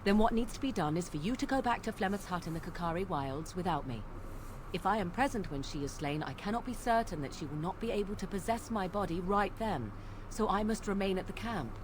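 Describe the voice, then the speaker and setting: A woman's voice: mid-range voice, expressive, fairly quick, background noise, natural voice. An actress playing a proud young witch in a video game, cool and resolute, with formal, archaic phrasing. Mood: cold resolve. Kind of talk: dramatic video game dialogue.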